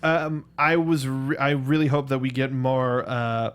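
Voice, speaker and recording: A man's voice: medium pitch, little pitch movement, a little slow, smooth. A man chatting casually and enthusiastically as a geeky co-host of a movie review show. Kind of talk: casual fan discussion.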